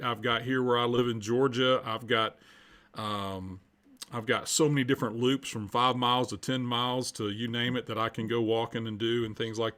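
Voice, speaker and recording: A man's voice: medium pitch, a bit bright. A man in his fifties with an American accent, answering interview questions conversationally and earnestly, repeating phrases for emphasis. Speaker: podcast guest, culture coach and minister.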